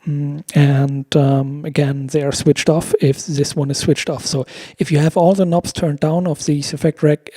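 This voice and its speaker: Man, thirties, mellow tone, dry close mic, hesitant, casual tutorial host. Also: on the high side, breathy edge, no echo. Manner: hesitant, searching for words. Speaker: host of a music production tutorial. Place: room at home. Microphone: close desk microphone with headphones.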